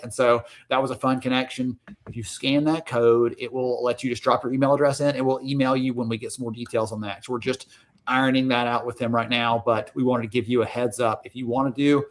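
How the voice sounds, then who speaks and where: A man from the Southern US co-hosts an online event over a video call. He announces plans in a friendly, brisk way and teases his partner now and then. His voice sits at an ordinary middle pitch. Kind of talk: casual livestream chat.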